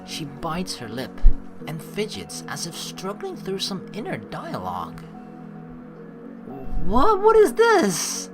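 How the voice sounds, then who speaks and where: A woman doing gameplay commentary, reading story text aloud expressively and reacting to it in an animated way. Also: mid-range voice, takes it slowly. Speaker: let's play host reading a visual novel aloud. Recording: game music behind the voice. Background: game music.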